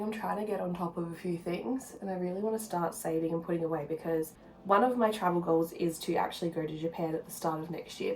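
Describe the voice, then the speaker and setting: A woman with a slightly low voice talks casually, as if filming a personal vlog. She rambles in an informal, confiding way. Faint music plays behind her. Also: darkish tone, rough recording. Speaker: vlogger. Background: background music.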